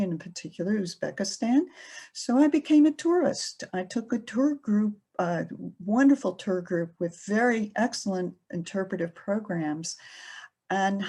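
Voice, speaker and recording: Slow, hesitant woman librarian presenting. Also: medium pitch, dark tone. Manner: slow, hesitant with many fillers. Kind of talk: presentation talk.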